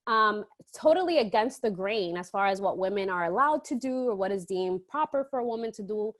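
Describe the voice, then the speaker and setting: A woman's voice: mid-range voice, lively intonation, fairly quick. A woman from the Dominican Republic chatting as an interview guest, telling stories casually and quoting people as she goes. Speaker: podcast guest, entrepreneur.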